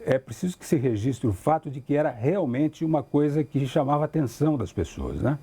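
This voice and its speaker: A man's voice: fairly high pitch, animated, a little fast, mellow, slightly rough. A man with a Brazilian accent reminiscing in an interview, choosing formal, articulate wording and often adding little tag questions. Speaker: documentary interviewee.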